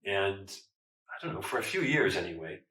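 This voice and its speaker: A man who is a newspaper editor, asking questions in a measured way. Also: deep voice, very animated, sharp tone, a little hiss or hum. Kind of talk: interview. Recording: roomy, distant mic.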